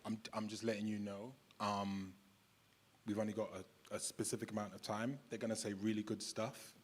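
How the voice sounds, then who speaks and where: Lower-voiced man moderating a panel. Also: level tone, normal speed, a crowd in the background, background noise. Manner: jokey and friendly with the audience.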